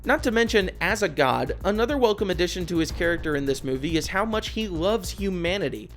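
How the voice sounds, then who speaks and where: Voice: mid-range voice, expressive, fairly quick, faint music behind. A video essayist reading a scripted film review in a casual, opinionated, sweary way.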